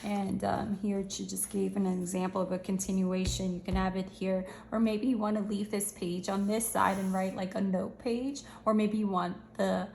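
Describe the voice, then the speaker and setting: Woman reviewer, gravelly, slightly hoarse, chatty, echoey room. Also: mid-range voice, little pitch movement, normal speed, few pauses, a little mellow, a little hiss or hum. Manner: chatty, upbeat, slangy, thinks aloud. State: losing her voice, slightly hoarse. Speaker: channel host reviewing planners. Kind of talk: casual product review walkthrough.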